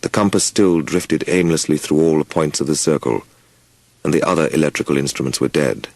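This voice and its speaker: Very deep male narrator, gravelly. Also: a little fast, darkish tone, no echo. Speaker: audiobook narrator. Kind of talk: scripted dramatic first-person story narration.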